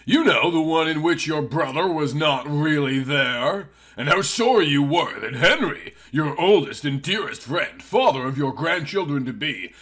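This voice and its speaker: Man as vengeful young Southern woman, sardonic story heroine, raised pitch, husky and forceful. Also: animated, unhurried, hardly any pauses, exaggerated voice. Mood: mocking. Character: vengeful young Southern heiress, bitter and cold.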